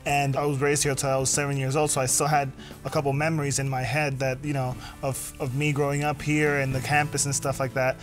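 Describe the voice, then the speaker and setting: Flat-voiced hesitant young man. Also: mid-range voice, brisk, soft background music. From Puerto Rico. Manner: hesitant, repeats words. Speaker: student. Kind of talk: interview answers.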